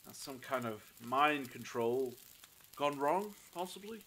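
A man's voice: mid-range voice, lively intonation, unhurried, bright, forceful tone, slight background noise. A man playing a suspicious, coldly insistent interrogator in an audio drama, pointed and sardonic. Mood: coolly sardonic.

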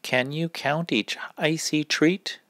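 A man reading a rhyming children's picture book aloud in a deliberate, instructive manner. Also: fairly high pitch, steady tone, a bit bright, no room sound, studio quality. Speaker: read-aloud reader.

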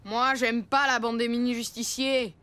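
A woman's voice: a little high, little pitch movement, moderate pace, lightly acted. A woman voicing a grumpy, stubborn little kid in a cartoon, with a sulky delivery. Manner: sulky, repetitive. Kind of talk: cartoon voice acting. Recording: loud music behind the voice.

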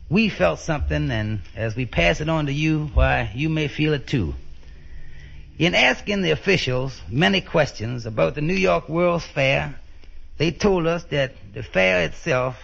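A man presenting on 1930s radio in a measured, folksy way, speaking directly to listeners on an old muffled recording. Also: on the high side, creaky, fairly bright tone.

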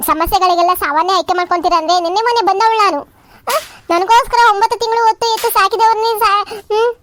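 A woman's voice: very high voice, a bit bright. A woman voicing a tearful young woman in love who pleads with emotion in a cartoon. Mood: reproachful. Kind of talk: cartoon voice acting.

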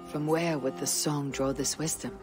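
A woman's voice: low voice, fairly even intonation, normal speed, close to an everyday voice. An actress playing a stoic, loyal Viking warrior woman in a dramatic game cutscene. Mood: quiet, reflective. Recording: loud background music under dialogue.

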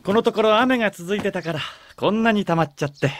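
A man's voice: high voice, quick pace, lightly acted. A man voicing a mild, sincere anime character. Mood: gentle. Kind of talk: anime acting.

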